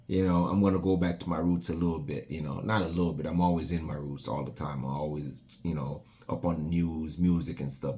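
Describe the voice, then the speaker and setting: A man from Jamaica with a Jamaican accent, chatting casually to his channel about a dancehall song with lots of fillers and bits of patois. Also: low voice. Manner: animated, slips into patois, many fillers. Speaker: YouTuber reacting to music. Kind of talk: casual reaction vlog talk.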